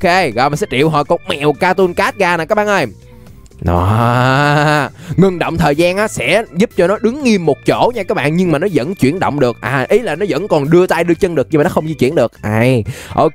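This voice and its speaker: A playful, chatty young man giving animated gameplay commentary straight to his viewers. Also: fairly high pitch, moderate pace. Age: young adult. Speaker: gaming video host. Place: indoor gaming setup. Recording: music behind the voice. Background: music.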